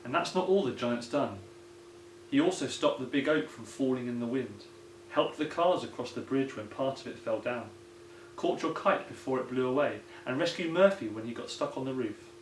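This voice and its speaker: A kindly old grandad from a picture book, played by a male reader, earnest about his tall tales. His speech is expressive and varied in pitch. It sounds roomy, with faint noise underneath. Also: moderate pace, close to an everyday voice. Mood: knowing. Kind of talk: children's picture book read-aloud. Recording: roomy echo, some background noise.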